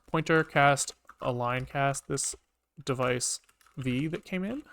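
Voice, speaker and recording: A man casually live-coding on a stream, thinking aloud in halting, muttered bits as he works. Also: fairly high pitch, a bit bright. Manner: halting, muttering to himself while working. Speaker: programming livestreamer.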